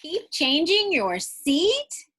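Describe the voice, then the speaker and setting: Bright-voiced woman reading slowly to children. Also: extremely high pitch. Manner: expressive, stretching out read phrases, questioning listeners directly. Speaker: teacher reading to young students. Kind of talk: children's picture-book read-aloud with asides.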